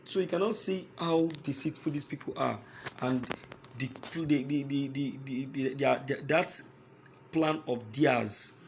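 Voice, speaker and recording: A Nigerian man delivers news commentary at a slow, deliberate pace in a mid-range voice. He addresses his listeners directly, halting and repeating phrases for emphasis. A faint noise sits behind the voice. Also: mid-range voice, gravelly.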